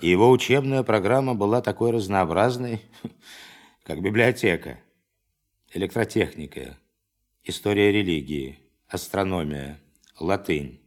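An actor playing an authoritative official giving a formal, emphatic briefing to scientists in a radio play. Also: male voice, low voice. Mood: dry, emphatic. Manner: formal, emphatic, commanding, dramatic pauses. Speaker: presenter of a demonstration.

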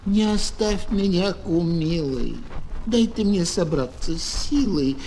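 Guy doing a flighty girly dragonfly, begging and gushing, high singsong voice, old storybook record. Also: animated, takes it slowly, dark tone, heavily acted. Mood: pleading. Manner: plaintive, coquettish, gushing. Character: flighty, pleading female dragonfly begging for shelter. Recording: noisy old children's record.

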